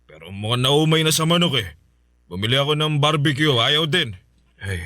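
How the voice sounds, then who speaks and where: A man's voice: a little high, normal speed, dry recording, clean studio sound. A man playing an easygoing, joking husband. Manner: relaxed, joking. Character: easygoing, good-natured adult husband. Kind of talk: radio drama acting.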